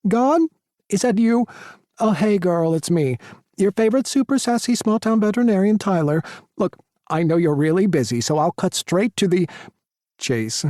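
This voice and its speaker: Sassy campy young vet guy, voiced by a man, high lively voice, mellow tone, dry audiobook sound. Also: normal speed, dry recording. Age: 20s. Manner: theatrical, camp, mock phone call. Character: sassy flamboyant young male veterinarian.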